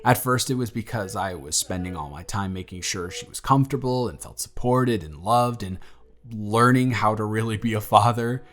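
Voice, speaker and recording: A man playing an earnest young single dad in his twenties, talking softly and a little flustered in an audio roleplay. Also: fairly low pitch, normal speed, lightly acted. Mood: tender. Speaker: father confessing feelings to the babysitter.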